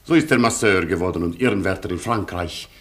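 A man's voice: low-pitched, animated, a little fast, a bit bright. A proud Polish colonel of the old aristocracy, speaking in wide, swooping melodies. He is gallant and imperious, with a Polish accent, formal broken phrasing and full radio-play grandeur. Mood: lofty, commanding. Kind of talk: German radio play acting.

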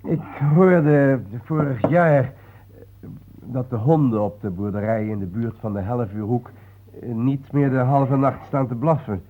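An actor playing a studious, reflective male traveller in a Dutch radio play, speaking in a measured, hesitant way. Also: medium pitch, narrow, tinny audio, close to an everyday voice. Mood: inquiring.

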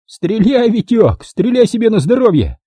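A man's voice: high-pitched, huge pitch swings, a little fast, warm tone, slightly rough, no echo, clean studio sound, voice pushed up, heavily acted. A man voicing a foul-mouthed brawling tough who bellows and mocks in an audiobook story. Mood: gleeful taunting. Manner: bellowing, cursing, mocking.

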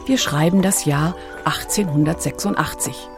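Low, creaky female documentary narrator. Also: moderate pace, over music.

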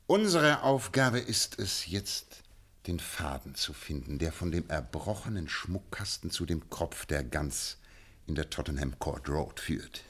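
Detective, very deep voice, measured, authoritative, 1950s radio drama. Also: male voice, sing-song, a bit bright, husky, exaggerated voice. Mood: explaining. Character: sharp, commanding male detective, middle-aged. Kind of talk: 1950s German radio play acting.

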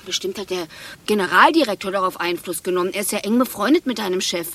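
An uneasy female office worker in a radio drama. Her voice is husky and breathy at a middle pitch, set a little back from the microphone. She comes across as timid, envious and loyal. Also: fast, fairly bright tone, far from the mic. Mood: needling, insinuating. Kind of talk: radio play acting.

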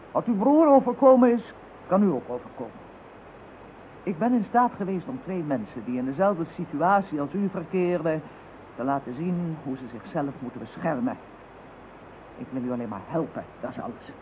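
Calm, persuasive modern witch; thin lo-fi tinny recording. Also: low voice, moderate pace, pauses often, slight background noise. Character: calm earnest woman, a practicing witch. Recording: tinny lo-fi old radio recording.

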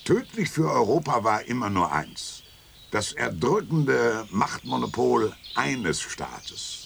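An actor playing an ironic European thinker who lectures emphatically in a radio play. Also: male voice, big pitch swings, slow pace, creaky, fairly bright tone, slight background noise, exaggerated voice. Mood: lecturing. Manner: emphatic, lecturing, swings pitch widely.

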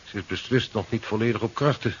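Cautious doctor on muffled old radio. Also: male voice, lowish voice, slightly rough. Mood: cautious, concerned. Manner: measured, polite, advising. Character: cautious, formal male hospital doctor. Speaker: radio drama actor. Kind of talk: 1970s Dutch radio drama acting. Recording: dull, hissy 1970s radio recording.

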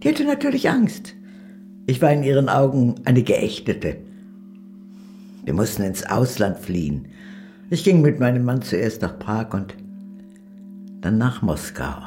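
An older actress playing a proud, worldly aristocratic communist ex-spy in a radio play, with a haughty, wry, theatrical manner. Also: female voice, a bass voice, big pitch swings, unhurried, long pauses, mellow, faint music behind, full character voice. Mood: matter-of-fact.

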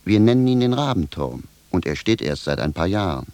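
An actor playing a simple, bewildered and stubborn village cobbler in a radio drama, speaking bluntly and with a sense of grievance. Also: male voice, sits low, sing-song, brisk, a little mellow, dry recording. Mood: earnest, recounting. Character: plain-spoken, bewildered, stubborn village cobbler, grumbling indignantly. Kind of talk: theatrical radio drama dialogue.

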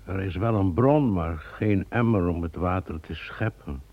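An actor playing a mysterious lawyer who speaks ominously in an old radio play. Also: male voice, sits low, unhurried, narrow, tinny audio. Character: Judas, a mysterious male lawyer who waits in ruins. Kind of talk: radio drama acting.

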